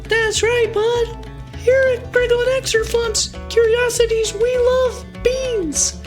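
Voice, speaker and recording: A woman voicing a tiny, squeaky, cheerful shop sidekick in a parody audio-drama commercial. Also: level tone, slow, faint music behind. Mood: chirpy.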